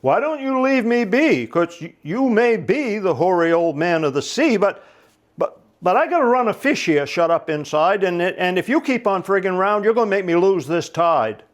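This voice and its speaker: Charlie, a man putting on a high brash fisherman. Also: lively intonation, moderate pace. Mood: indignant. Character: high-pitched excitable male fisherman Charlie.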